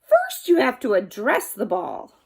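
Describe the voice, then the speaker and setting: A woman's voice: fairly high pitch, huge pitch swings, unhurried, slightly rough, heavily acted. A woman voicing a patient, teasing father frog in a storybook, explaining things to his child. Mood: exasperated. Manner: patient, instructing.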